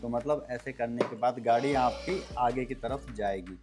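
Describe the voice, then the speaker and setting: Guy doing a casual product review, explains step by step, mid pitch voice, music in back. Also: normal speed. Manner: explanatory, step-by-step, addressing viewers as friends. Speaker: product reviewer and video host.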